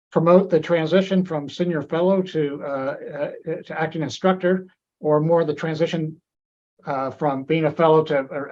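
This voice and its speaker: A man's voice: on the high side, darkish tone, dull audio. A man moderating a webinar question session at grand rounds, speaking with frequent fillers and restarts. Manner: hesitant, many fillers and restarts.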